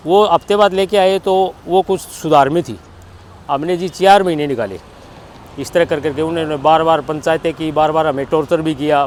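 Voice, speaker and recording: Middle-aged Haryanvi man, higher-pitched, outdoor noise. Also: a little mellow. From Haryana, India. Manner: plain-spoken, quotes reported dialogue as he narrates. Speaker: bereaved father giving a statement. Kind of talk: spontaneous testimony, recounting events.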